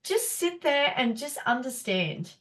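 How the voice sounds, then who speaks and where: A woman's voice: sits high, very animated, unhurried, fairly bright tone. A woman, a naturopath hosting a casual live teaching session, chatty and encouraging, her nose stuffy and blocked up. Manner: chatty, encouraging, many fillers.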